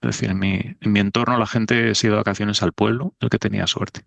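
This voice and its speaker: A man's voice: on the low side, steady tone, fast, vocal fry, a bit bright, no room sound. A middle-aged man from Spain, a podcast guest talking in a thoughtful, self-deprecating way. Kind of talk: casual conversation.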